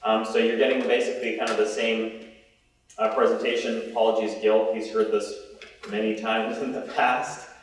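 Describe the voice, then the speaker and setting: A man gives a presentation to a meeting room, loud and chatty, often restarting his sentences. He sits well back from the microphone. The room rings with heavy echo. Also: on the low side, level tone, normal speed, a little hiss or hum. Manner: loud, conversational, with frequent restarts. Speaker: presenter on investment strategy. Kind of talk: conference presentation. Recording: echoey room from far off.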